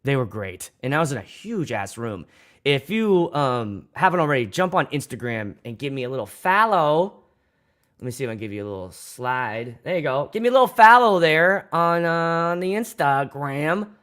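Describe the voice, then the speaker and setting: A man's voice: fairly high pitch, big pitch swings, normal speed, a bit bright. A young man from Pittsburgh with a Pittsburgh accent, hosting a casual livestream as a DJ, rambling, swearing freely and restarting his sentences. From Pittsburgh, USA. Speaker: DJ and livestream host.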